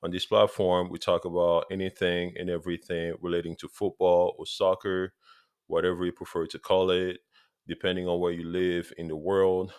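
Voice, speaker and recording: A man's voice: low-pitched, completely flat delivery, normal speed, a little mellow, clear, resonant tone, no echo, clean studio sound. A man in his thirties from Congo, with a Congolese accent, eagerly talking football straight to his viewers in a casual vlog and repeating points for emphasis. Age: 30s. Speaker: football vlogger.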